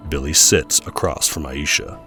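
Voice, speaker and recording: A man's voice: very deep voice, steady tone, normal speed, pushed and bright, faint music behind. A man playing the solemn narrator of a superhero audio drama, reading scripted scene descriptions dramatically. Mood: solemn, dramatic.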